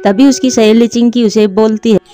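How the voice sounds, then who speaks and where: A woman's voice: fairly high pitch, steady tone, moderate pace, mellow, soft background music. A woman narrating a scripted children's story.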